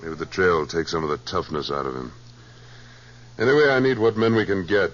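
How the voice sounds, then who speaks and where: An actor in a 1950s radio western playing a tough American trail boss, clipped, cool and authoritative. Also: male voice, deep voice, a little fast, fairly bright tone. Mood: steady command. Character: tough, terse, commanding male trail boss. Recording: muffled old radio recording, faint hum.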